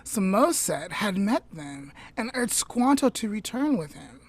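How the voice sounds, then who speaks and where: Woman, podcast co-host, slow storytelling, mid-pitched voice, soft near-whisper at line ends, chatty asides. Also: sing-song. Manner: chatty asides with self-corrections and fillers.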